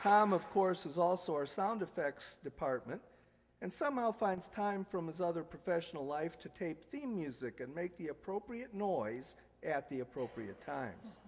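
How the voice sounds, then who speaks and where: Male radio-show announcer, high voice, playful ad-libber, reverberant hall recording. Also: animated, moderate pace. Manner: jokey, ad-libbing. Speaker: announcer and host. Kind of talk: live old-time radio show announcing. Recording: echoey hall with background hiss.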